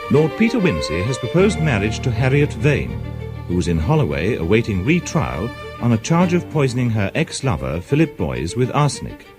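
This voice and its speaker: A man's voice: mid-range voice, wildly swooping pitch, moderate pace. A man reading scripted recap narration for a radio drama. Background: violin music.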